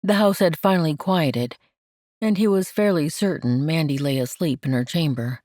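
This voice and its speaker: A woman narrating romance fiction from a script, in a measured and gentle manner. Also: deep voice, no echo, clean studio sound. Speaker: audiobook narrator.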